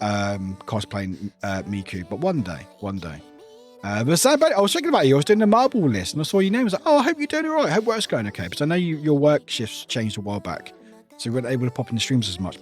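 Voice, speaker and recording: A streamer talking loosely to chat, wandering between thoughts and laughing along the way. The voice rises and falls a great deal and sounds a little croaky. Faint music runs underneath. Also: male voice, mid-range voice. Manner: rambling, chatty, laughs often. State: slightly croaky voice. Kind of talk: casual livestream chat.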